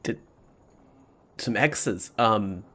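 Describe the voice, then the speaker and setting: A man with a creaky voice, casually narrating a tabletop game as the game master. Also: fairly low pitch, expressive, very slow, a bit bright.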